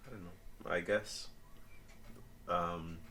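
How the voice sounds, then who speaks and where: A man's voice: sits low, fairly even intonation, slow pace, sharp tone, a little hiss or hum. A man giving his opinions as a co-reviewer in a casual chat, in short, hesitant answers.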